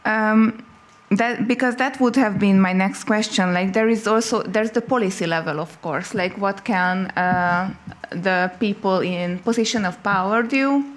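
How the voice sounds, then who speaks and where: Female panel moderator, unhurried, gently steers the discussion. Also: mid-range voice. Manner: summarizing, gently guiding.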